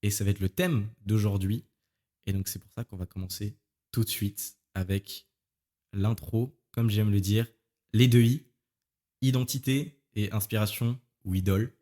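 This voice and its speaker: Low-voiced young male podcast host. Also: fairly even intonation, a little slow, warm tone. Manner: informal, chummy with guest. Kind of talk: casual conversational interview.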